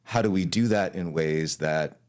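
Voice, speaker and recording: A man's voice: sits low, normal speed, darkish tone. A man from Hawaii, an arts leader, talking through his career in a casual interview.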